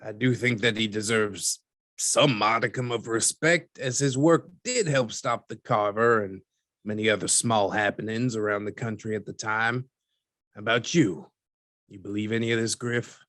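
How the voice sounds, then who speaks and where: A man acts out a folksy newspaperman being interviewed in a podcast drama. His voice sits on the low side and is recorded very dry. He speaks in measured phrases, wryly dismissive and a little condescending. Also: a bit bright, no echo, lightly acted. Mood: wry skepticism.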